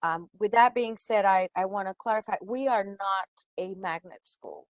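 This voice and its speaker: A woman's voice: medium pitch, moderate pace, no room sound. A woman who is a native Spanish speaker, presenting in a webinar as a school educator and stressing her key words.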